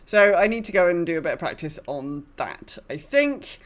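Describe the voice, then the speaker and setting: A woman's voice: lowish voice, very animated, normal speed. A woman hosting a gaming podcast, chatting casually in a rambling, self-deprecating way. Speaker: podcast host.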